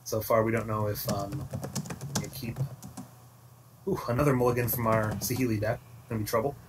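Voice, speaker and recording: A man's voice: fairly low pitch, fairly even intonation, brisk. Man commentating from off-mic, noisy recording. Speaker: esports card game commentator. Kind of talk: casual live analysis commentary. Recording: distant mic with clear background noise, clicks.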